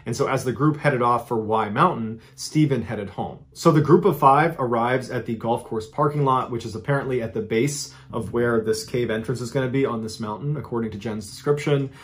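Man telling a dark true story. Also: medium pitch. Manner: animated, conversational retelling with dramatic emphasis. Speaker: storyteller host. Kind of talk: true-crime storytelling to camera. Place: indoor studio with a green-screen backdrop.

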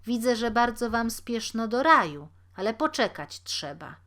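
A woman's voice: fairly high pitch, big pitch swings, a little slow, fairly bright tone. A woman voicing a haughty, self-important, judgmental male critic in a storybook tale, with a pompous, condescending manner. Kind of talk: storybook character reading.

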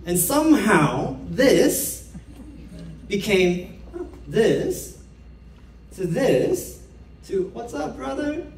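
A young man from Korea speaks to a theater crowd in a playful, chatty way, often throwing questions at them. His voice is fairly high and jumps around a lot. The sound is roomy and picked up from some distance, with a faint hum of room noise behind it. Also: sing-song, drawn-out words, gravelly, pauses often, a little mellow. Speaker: speaker giving a stage talk. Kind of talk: lively stage talk to an audience. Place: dark theater stage with an audience. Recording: roomy stage sound heard from far off.

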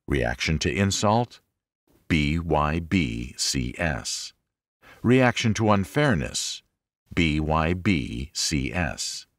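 A man with a notably low voice narrates an instructional audiobook. His delivery is deliberate and measured, setting out each point as a lesson. The sound is dry, with very little room around it. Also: dry recording. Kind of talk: scripted audiobook narration of religious self-help.

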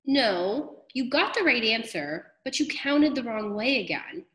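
A woman voicing a patient but firm female schoolteacher in a storybook, speaking in an instructive, deliberate way. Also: a little high, expressive, fairly bright tone, pitched above the performer's own voice. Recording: echoey room.

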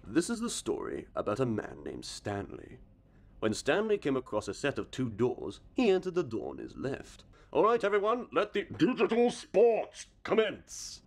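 A man's voice: on the high side, wildly swooping pitch, moderate pace, vocal fry. A young man performing exaggerated, theatrical character impressions one after another. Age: young adult. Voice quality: changeable voice. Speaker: amateur voice impressionist. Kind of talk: rapid-fire character impressions. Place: small indoor room.

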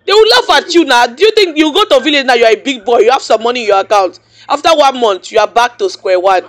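A man's voice: extremely high pitch, animated, fast talker, fairly bright tone. A man with a Nigerian accent complaining in mixed English and Pidgin, indignant, telling his side with rhetorical questions.

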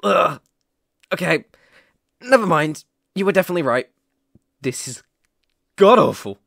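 A man's voice: high-pitched, sing-song, a little slow, creaky, no echo, exaggerated voice. A young man playing a caring, playful boyfriend looking after his sick partner in an intimate, soothing ASMR roleplay, close to the microphone. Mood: playful disgust. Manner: gentle, soothing, affectionate, chuckling often. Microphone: close microphone, dry.

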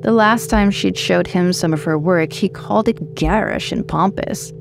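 A woman's voice: on the low side, normal speed, vocal fry. A woman narrating scripted horror fiction in a dramatic, wry storytelling manner. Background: faint music.

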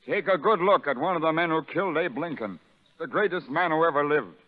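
An actor playing a sadistic, vengeful prison sergeant who taunts and barks orders in a 1930s radio drama. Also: male voice, on the high side, a little fast, close to an everyday voice. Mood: furious, bullying. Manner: menacing, taunting, barking orders. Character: sadistic, vengeful male prison sergeant bullying new convicts. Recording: narrow muffled 1930s radio broadcast, reverberant.

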